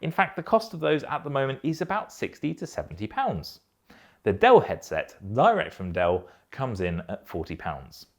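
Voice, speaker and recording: A man presenting a product review in a measured, explanatory way. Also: medium pitch, huge pitch swings. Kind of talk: scripted-sounding headset review.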